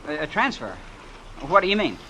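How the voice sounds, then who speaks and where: An actor playing a naive, awestruck and uneasy young man, halting and full of wonder, in an old-time radio drama. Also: fairly high pitch, sing-song, sharp tone, a little hiss or hum, low-quality recording. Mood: bewildered. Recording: old radio broadcast with music.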